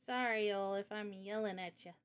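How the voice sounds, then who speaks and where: A woman's voice: mid-range voice, steady tone, moderate pace. A woman guesting on a livestream as an illustrator and game developer, talking casually into her mic.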